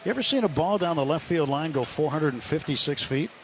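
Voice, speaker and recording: A man's voice: a little high, animated, quick pace. A man working as a radio sports announcer, chatting with a player in a post-game interview. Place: ballpark. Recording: faint outdoor ballpark noise.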